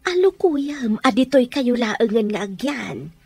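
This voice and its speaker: A woman's voice: fairly high pitch, sing-song, measured pace, vocal fry, a little mellow, husky, dry recording, heavily acted. A woman playing a fond, doting mother in a radio drama, speaking in an affectionate, entreating way. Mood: fond, concerned.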